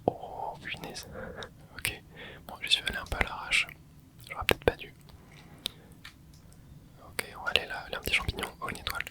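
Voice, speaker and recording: Whispering young man, hushed game commentary. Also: normal speed, long pauses. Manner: soft close whisper, relaxed running reactions. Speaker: ASMR gaming channel host. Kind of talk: whispered ASMR gameplay commentary.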